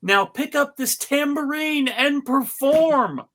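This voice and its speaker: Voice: sits high, takes it slowly, fairly bright tone, voice pushed up. A reader as a boastful, bullying cat monarch. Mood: threatening. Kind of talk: comic fan fiction read-aloud.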